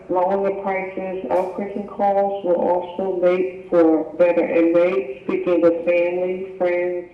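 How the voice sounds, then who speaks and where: High-voiced man, flat delivery, distant and echoey. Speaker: caller to a comment line. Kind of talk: prepared phone message statement. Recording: muffled phone message replayed in echoey room.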